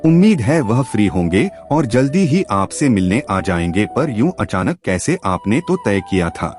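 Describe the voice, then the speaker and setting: A man's voice: lowish voice, big pitch swings, moderate pace, a little mellow, faint music behind. A man voicing a scheming, deferential female office manager with a polite, probing manner in a story. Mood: falsely polite.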